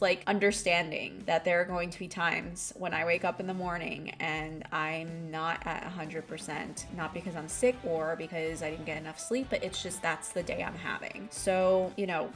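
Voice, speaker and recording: Woman getting over a cold, candid. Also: fairly low pitch. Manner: candid, rambling, thinking aloud. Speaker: art vlogger. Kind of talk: casual voiceover.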